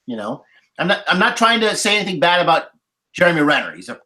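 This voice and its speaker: A man with a bright, forceful voice and very lively pitch, chatting on a movie podcast. He argues his points with emphasis in a casual way. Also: fast talker. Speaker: podcast panelist. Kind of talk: casual film discussion.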